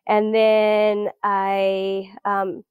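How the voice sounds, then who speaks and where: A woman's voice: mid-range voice, monotone, extremely slow, clear, resonant tone, no room sound, lo-fi sound. A woman in her thirties from South Florida, chatting as a guest in a casual interview, with many fillers and restarts. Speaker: guest researcher.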